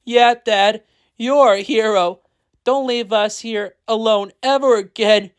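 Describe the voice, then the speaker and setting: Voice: sits high, takes it slowly, voice pushed up. A storyteller voicing admiring, emotional young children in a story. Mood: tearful admiration.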